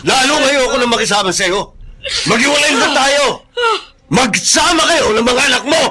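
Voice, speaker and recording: An actor with a Filipino accent playing an unfaithful, hot-tempered husband in a radio drama. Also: male voice, very high voice, normal speed, raised voice. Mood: furious.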